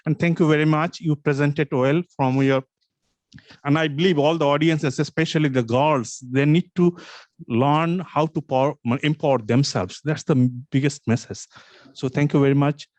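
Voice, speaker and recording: Effusive Bangladeshi man, slightly high voice. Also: normal speed, darkish tone, no room sound. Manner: effusive, repeatedly thankful, loose grammar. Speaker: audience member.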